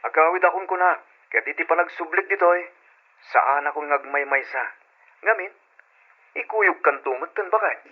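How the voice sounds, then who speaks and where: A man's voice: fairly high pitch, normal speed, long pauses. A man with a Filipino Ilocano accent playing an eager, affectionate husband phoning from away in an old-time radio drama, through a telephone filter. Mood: affectionate, excited. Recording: tinny phone line in old radio drama.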